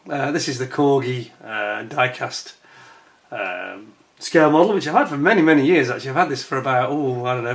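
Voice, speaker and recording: An older man from the United Kingdom with a croaky voice and a chesty cough, chatting casually to camera as host of his own channel, rambling with plenty of fillers and restarts. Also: medium pitch, fairly bright tone. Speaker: YouTube host.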